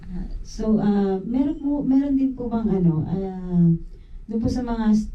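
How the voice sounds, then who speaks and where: A woman's voice: a little high, normal speed. A woman with a Filipino accent asking a polite, hesitant question in Tagalog mixed with English. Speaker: questioner at press event. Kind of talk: polite interview question in Taglish. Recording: echoey, dull, distant microphone.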